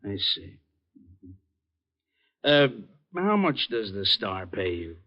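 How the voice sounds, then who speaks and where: Low, smooth, menacing racketeer. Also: male voice, big pitch swings, takes it slowly. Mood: coolly probing. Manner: smooth, condescending, coolly threatening. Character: smooth, menacing racketeer boss with condescending politeness. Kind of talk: 1950s radio crime drama acting. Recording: muffled vintage radio recording.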